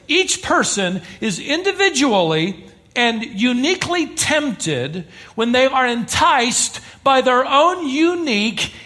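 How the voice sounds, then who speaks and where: A man's voice: high voice, sharp tone. A man preaching a sermon to a congregation in an earnest, emphatic way, pressing his points with rising intensity. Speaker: pastor.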